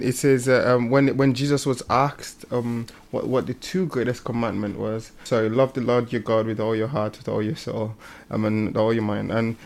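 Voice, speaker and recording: A man's voice: mid-range voice, darkish tone. A man in a casual faith discussion, speaking hesitantly and often repeating words as he thinks. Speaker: panelist on a religious programme.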